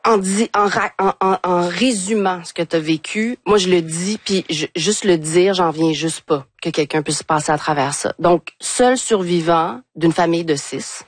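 Low-pitched woman hosting an interview. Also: a little slow, few pauses, no room sound. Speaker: interview host. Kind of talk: conversational live interview.

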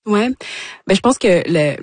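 A woman's voice: medium pitch, lively intonation, darkish tone, dry recording. A woman, a radio guest who speaks reflectively with frequent restarts. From Democratic Republic of the Congo. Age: 20s. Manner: reflective, halting, many restarts. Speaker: guest, actress and content creator. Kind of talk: radio interview. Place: radio studio.

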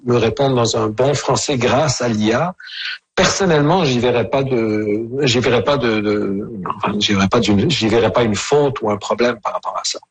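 A man speaks as a guest in a radio interview, his voice slightly husky and his pitch sitting at a middling level. He weighs his words, pausing and restarting sentences as he thinks aloud. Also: poor audio. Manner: thoughtful, many hesitations and restarts.

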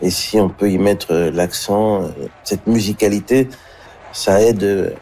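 Low male voice, interview guest, halting, reflective, unscripted. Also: fairly even intonation, warm tone. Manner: halting, searching for words.

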